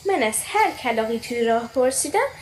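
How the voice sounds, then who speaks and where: A woman's voice: on the high side, sing-song, a crowd in the background, low-quality recording. A woman playing a brave, clever little girl in a children's radio play, earnest and childlike. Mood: polite, crafty.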